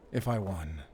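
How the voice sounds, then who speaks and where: A man's voice: mid-range voice, gravelly, a bit bright, slight background noise, exaggerated voice. A man playing a wounded, stoic werewolf hunter who speaks in terse, strained phrases in a cinematic audio drama. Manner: terse, halting, strained delivery. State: hurt, weakened. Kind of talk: cinematic audio roleplay acting.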